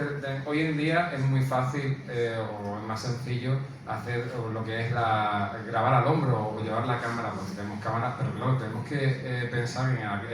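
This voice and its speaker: A man co-presenting a film in informal commentary, hesitating and repeating himself as he searches for words. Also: mid-range voice. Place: indoor room, presenting to an audience. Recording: very echoey room, distant mic, faint noise.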